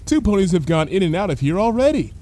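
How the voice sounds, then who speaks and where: A man voicing a brash, carefree female pony troublemaker in a chatty, animated story reading. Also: high-pitched, fast talker, heavy background noise, pitched above the performer's own voice. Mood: blithely arguing. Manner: breezy, chatty, blithely unconcerned. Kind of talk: animated fan fiction reading.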